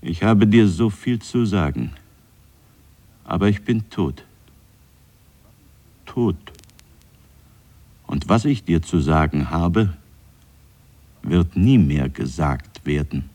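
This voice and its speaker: An older man acting a dead father who speaks wryly from his grave in a radio drama, husky and brooding, with halting phrases. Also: low-pitched, lively intonation, mellow, heavily acted. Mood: mournful.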